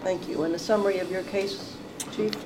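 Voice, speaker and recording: A woman chairs a board hearing and keeps the proceedings moving. She talks quickly and reads the oath in a procedural tone. She sounds distant over heavy room noise. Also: medium pitch, fairly even intonation. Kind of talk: running a public hearing.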